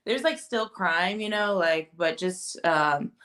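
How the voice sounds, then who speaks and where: A woman's voice: on the low side, takes it slowly, a bit bright. A young woman from Japan, a guest singer-songwriter, chatting casually over a phone video call and sprinkling her talk with "like" and laughs. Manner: laughs often, many "like" fillers. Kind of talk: casual interview chat.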